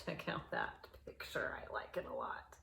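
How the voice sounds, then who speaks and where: A woman reading a picture book aloud to children in a playful, expressive way, with asides to her young listeners. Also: extremely low pitch, normal speed, vocal fry, in a whisper.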